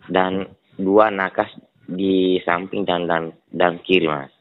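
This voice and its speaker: A man on a recorded phone call, speaking politely and eagerly, agreeing quickly and quoting prices. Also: sits low, flat delivery. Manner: polite, eager to please, repeats "siap, Mas". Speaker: suspected scam seller on a phone call. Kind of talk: phone conversation. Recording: tinny phone-line recording.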